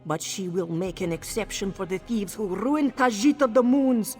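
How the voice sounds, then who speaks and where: A text-to-speech woman voicing a proud cat-folk townswoman in a fantasy game, who talks about herself in the third person. Her voice sits at a mid pitch and carries a long reverb. Soft game music plays underneath. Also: moderate pace, natural voice. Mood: eager.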